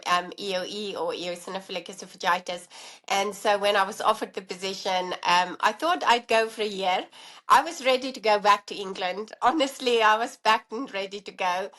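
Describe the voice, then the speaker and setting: A woman's voice: mid-range voice, fairly bright tone. A middle-aged woman from South Africa with a South African accent, a guest on a podcast, explaining her career in a friendly way with many fillers. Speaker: guest, allergy dietitian and professor. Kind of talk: podcast interview.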